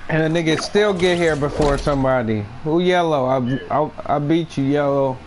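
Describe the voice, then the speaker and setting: A man's voice: fairly high pitch, normal speed, background noise. A young man chatting in a laid-back, slangy and profane way with friends during an online game. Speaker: friend in party chat. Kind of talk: casual gaming chat.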